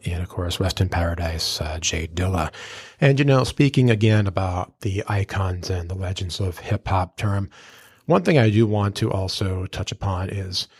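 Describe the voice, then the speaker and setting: A man's voice: low-pitched, normal speed, slightly rough, dry recording. A man hosting a hip-hop interview show in a casual, earnest way, effusive and slangy. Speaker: podcast and radio host.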